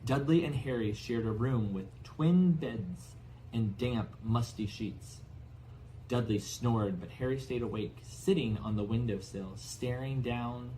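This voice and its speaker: A man reading a storybook aloud as narrator in an expressive style. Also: mid-range voice, a little hiss or hum, poor audio. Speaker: audiobook narrator.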